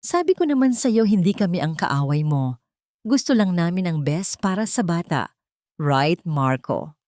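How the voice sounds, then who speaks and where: A woman's voice: lowish voice, very animated, measured pace, darkish tone, dry recording, studio quality. Woman narrator as a smug, condescending mistress. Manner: condescending, coaxing. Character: arrogant, smug mistress, sweetly possessive and condescending.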